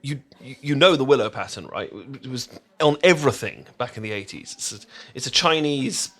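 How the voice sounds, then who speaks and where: A man's voice: mid-range voice, animated, moderate pace, fairly bright tone. A British man playing a flustered, tea-obsessed boyfriend in an ASMR roleplay, stammering and rambling as he chats to the listener. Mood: explaining, rambling.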